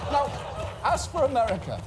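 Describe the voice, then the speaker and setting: A man's voice: very high-pitched, sing-song, moderate pace, crowd noise, noisy background. A man hosting a satirical comedy monologue, delivering mocking, sarcastic asides. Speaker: comedy show host.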